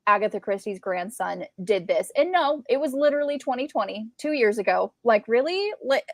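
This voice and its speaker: A woman co-hosting a casual book discussion, animated and given to repeating words for emphasis. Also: a little high, brisk, no echo, studio quality. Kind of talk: casual live discussion of classic books.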